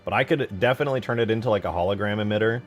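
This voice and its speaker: A man chatting casually on a livestream as he shows game mod work, informal and slangy, easily excited. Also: on the low side, rapid-fire. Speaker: live streamer and game modder.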